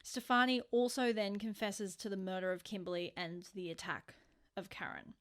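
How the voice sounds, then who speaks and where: A woman's voice: mid-range voice, little pitch movement, moderate pace. A woman co-hosting a podcast, telling true-crime stories in an informal, conversational way with emphatic stresses. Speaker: podcast co-host.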